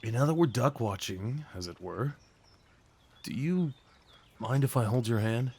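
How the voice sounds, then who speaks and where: A man acting as a big, gentle guy on a first date, in a mid-pitched voice that swings widely up and down. He is sweet and a little nervous, teasing playfully with boyish enthusiasm in an intimate ASMR roleplay. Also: animated, measured pace, breathy edge. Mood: tender, playful. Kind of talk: ASMR roleplay audio drama, one-sided conversation.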